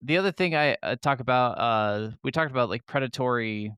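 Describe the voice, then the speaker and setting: Male co-host, average pitch, crisp clean voice, dry recording, irreverent sweary gamer chat. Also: fairly quick, fairly bright tone, no room sound. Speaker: gaming podcast co-host. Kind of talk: casual opinionated podcast chat.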